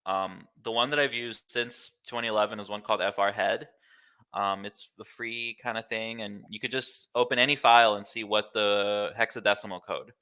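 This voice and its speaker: A man's voice: on the low side, steady tone, moderate pace, bright, forceful tone, smooth. An American man in his thirties, from Miami, chatting informally as an interview guest and explaining things in an easygoing way. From Miami, United States. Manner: easygoing, informal, filler-heavy with "like" and "kinda". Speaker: interview guest, glitch artist. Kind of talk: casual explanatory chat.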